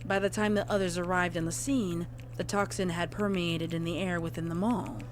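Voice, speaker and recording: A woman's voice: lowish voice, fairly even intonation, normal speed. A woman reading a scripted horror story as a narrator, in the form of a report. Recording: clear background noise.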